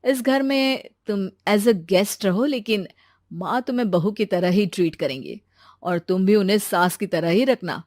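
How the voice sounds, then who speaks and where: Woman doing an earnest, lower-voiced young suitor. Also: unhurried, darkish tone, no echo, studio quality, lightly acted. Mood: welcoming. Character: earnest young man seeking a bride, reasonable.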